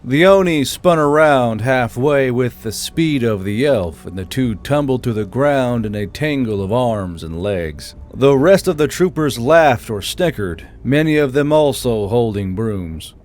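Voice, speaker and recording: A man's voice: medium pitch, expressive, measured pace, few pauses, a little mellow. A man reading scripted fantasy story narration as the narrator. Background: background music.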